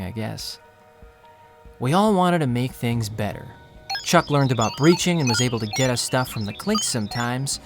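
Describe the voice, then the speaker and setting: An actor playing a poor, anxious young man who narrates his own story in a quiet, melancholy, self-critical way. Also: mid-range voice, close to an everyday voice. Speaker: narrator and lead character. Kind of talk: first-person audio drama narration.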